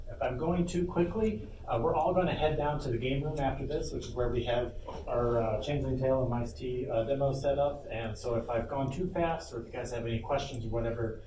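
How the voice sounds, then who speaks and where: A man giving an informal live workshop talk as a panel presenter, speaking off the cuff with asides. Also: medium pitch. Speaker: panel presenter, lead game developer. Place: convention panel room, indoors. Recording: echoey room, distant mic, loud background noise.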